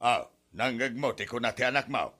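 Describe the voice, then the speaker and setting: A man's voice: medium pitch, animated, brisk, sharp tone. A man playing a henpecked, exasperated elderly husband in an Ilocano comedy drama, whining and protesting in an Ilocano Filipino accent. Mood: aggrieved. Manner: whining, protesting, stammered yelps.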